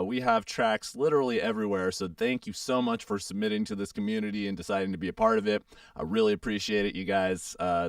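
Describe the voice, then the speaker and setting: A young man hosts a casual radio show with a heavily gravelly, hoarse voice. He talks in a lively, up-and-down way, rambling through fillers. His voice is smooth under the rasp, recorded dry and slightly off-mic. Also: mid-range voice, no room sound, far from the mic. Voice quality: froggy, gravelly. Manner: chatty, rambling with many fillers. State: hoarse, getting over a sore throat.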